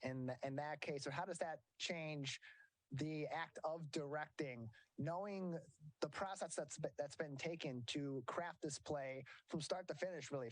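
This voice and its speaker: A man's voice: fairly high pitch, no echo, poor audio. A man hosting a broadcast interview, speaking in a wordy way and repeating details for listeners. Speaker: interview host.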